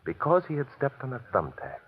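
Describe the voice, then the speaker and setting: An actor playing an urbane, self-assured amateur detective in an old radio mystery, measured and precise with light amusement. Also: male voice, medium pitch, very animated, slightly rough. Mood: smugly explaining. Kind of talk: 1940s radio mystery acting. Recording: muffled, hissy old radio recording.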